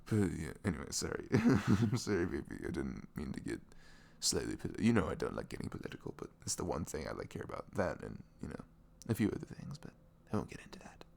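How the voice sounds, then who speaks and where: A man playing a sweet, caring boyfriend in an intimate ASMR roleplay, speaking softly and tenderly in a velvety, often breathy voice with earnest, rambling reassurance. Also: rumbling low, big pitch swings, quick pace, heavily acted. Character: sweet, caring, devoted young boyfriend talking in bed.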